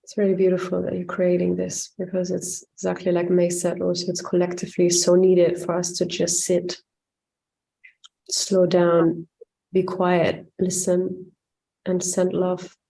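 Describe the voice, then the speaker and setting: Low creaky-voiced woman, calm and soft. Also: unhurried, poor audio. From Lebanon and Germany. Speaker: guest. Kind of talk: casual reflective chat.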